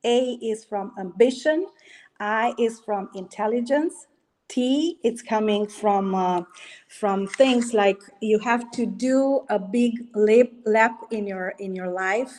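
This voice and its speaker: A woman's voice: medium pitch, takes it slowly, a little mellow, low-quality recording. Woman, late fifties, hesitant. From Romania. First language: Romanian. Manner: hesitant, many fillers, laughs often. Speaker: guest. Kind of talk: casual interview.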